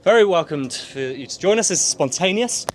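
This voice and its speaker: A man hosting a podcast in a jokey, showman way, with a slightly high voice. Faint ambience hums behind him. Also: lively intonation, a little fast, slight background noise. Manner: showy, mock-grand announcer patter. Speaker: podcast host. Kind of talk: playful casual podcast hosting.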